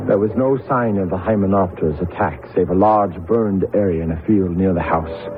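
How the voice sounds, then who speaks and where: A man's voice: deep voice, close to an everyday voice. An actor playing an earnest, lonely young man who tells his story in a radio drama, on a tinny old recording. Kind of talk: radio drama acting and narration. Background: music.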